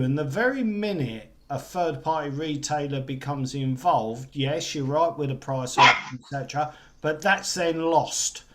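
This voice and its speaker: A man talking in a casual group discussion, wordy and conversational. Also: a little high. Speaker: discussion participant.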